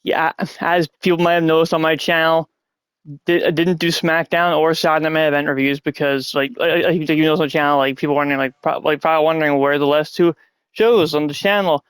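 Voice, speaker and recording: Stuffy-nosed man rambling, slightly high voice. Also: flat delivery, fast talker, a bit bright, clear, resonant tone, no echo. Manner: rambling, sarcastic, often annoyed. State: stuffy nose, coming down with a cold. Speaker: podcast host. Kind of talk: casual wrestling review chat. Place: at home.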